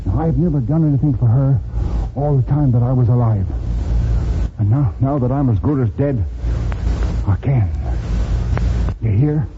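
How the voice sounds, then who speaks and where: An actor in a 1940s radio drama playing a desperate condemned convict, stammering and pleading urgently for help. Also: male voice, medium pitch, normal speed, long pauses, lightly acted. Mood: desperate resolve. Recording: noisy muffled 1940s radio broadcast.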